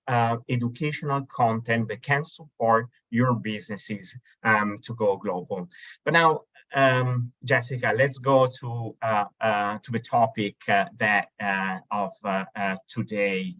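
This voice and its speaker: A man's voice: mid-range voice, a bit bright. A man in his thirties with an Italian accent presenting slides on a webinar, hesitant, with many fillers and restarts. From Italy. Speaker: webinar host for a marketplace. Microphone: video call. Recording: dull lo-fi video call audio.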